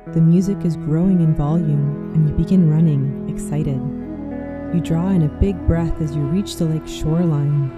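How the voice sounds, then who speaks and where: A narrator guiding a scripted visualization meditation in a soothing, measured way. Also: mid-range voice, steady tone. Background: music.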